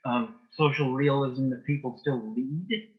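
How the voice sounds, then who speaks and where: Muffled-sounding man giving measured answers. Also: mid-range voice, breathy edge. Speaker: interview guest, author and playwright. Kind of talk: conversational interview answers. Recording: dull, band-limited sound.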